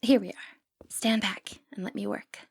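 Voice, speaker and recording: A woman playing a sly, confident, flirty thief in a fantasy roleplay, playful and cheeky with breathy asides. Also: mid-range voice, fairly even intonation, unhurried, no echo. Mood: sly, hushed. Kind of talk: scripted fantasy ASMR roleplay, addressing the listener.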